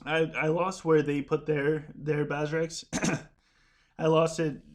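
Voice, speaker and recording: Casual gaming streamer, voice going hoarse. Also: male voice, a little high, flat delivery, slow. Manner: chatty, rambling, frequent restarts while playing. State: losing his voice. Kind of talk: casual live-stream commentary.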